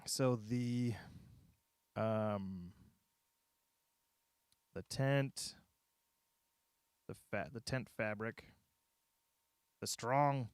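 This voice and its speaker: A man on a casual livestream, thinking aloud haltingly as he drafts a script, muttering and repeating his phrases. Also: mid-range voice, smooth, dry recording, clean studio sound. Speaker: streamer writing a visual novel.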